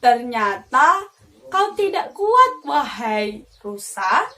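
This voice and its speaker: A woman's voice: fairly high pitch, very animated, extremely slow, pushed and bright, heavily acted. A woman voicing a sarcastic, goading pigeon in a children's forest fable. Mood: mocking.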